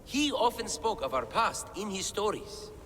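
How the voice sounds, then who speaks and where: A man's voice: sits high, sing-song, moderate pace, fairly bright tone, in a large hall, slight background noise. An actor playing an eager male scholar deciphering ancient writing, talking about his discoveries with enthusiasm. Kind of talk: game cutscene acting.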